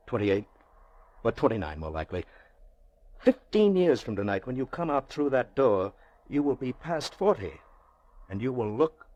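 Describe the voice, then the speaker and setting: An actor playing a wealthy, anguished middle-aged banker in an old-time radio drama, rhetorical and theatrical. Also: male voice, medium pitch, a little mellow, dry recording, lo-fi sound. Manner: theatrical, rhetorical, swinging from musing to urgent pleading.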